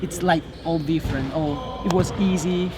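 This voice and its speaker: A male interviewer who is not a native English speaker, asking casual sports questions in hesitant, halting phrases. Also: a little high, fairly even intonation, noisy background. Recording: music playing over the voice.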